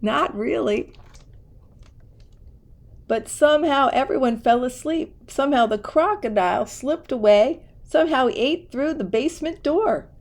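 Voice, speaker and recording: A woman reading a children's picture book aloud in an expressive way, with casual asides. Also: high voice, unhurried. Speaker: storybook reader.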